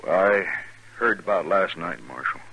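Creaky, low, weary western ex-convict. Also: male voice, sharp tone, exaggerated voice, poor audio. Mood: weary, contrite. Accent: American. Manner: halting, plain rustic speech. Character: weary, repentant ex-convict in the Old West. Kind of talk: 1950s radio western acting. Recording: 1950s radio recording.

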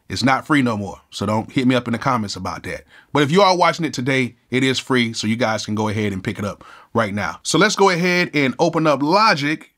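A man in his thirties with a cold and a nasal voice, casually walking through music software in a laid-back, slangy way from his home studio. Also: medium pitch. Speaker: music producer and YouTube host.

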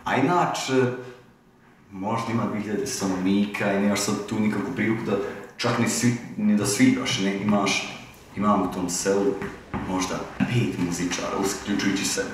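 Man, twenties, Bosnian accent, casual animated chat, lowish voice, distant and noisy echoey recording. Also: normal speed. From village near Tuzla, Bosnia. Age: 20s. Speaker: youth exchange participant, musician. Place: indoor hall with large windows.

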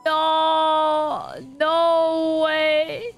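A woman's voice: high voice, completely flat delivery, extremely slow. A young woman streaming a game and reacting live in an emotional, exclamatory, slangy way. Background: game music.

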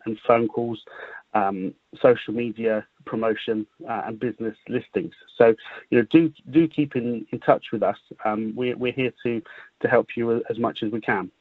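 A man explains things in a semi-formal, measured way on a conference call, repeating words as he goes. The sound is dry and phone-like, with a narrow, muffled band. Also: mid-range voice, no echo. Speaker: business improvement district representative.

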